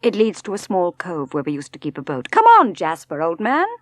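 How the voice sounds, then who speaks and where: A woman doing curt, impatient English gentleman. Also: fairly low pitch, wildly swooping pitch, dry recording. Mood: brief, commanding. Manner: brisk, short. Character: curt, impatient well-to-do English husband, middle-aged.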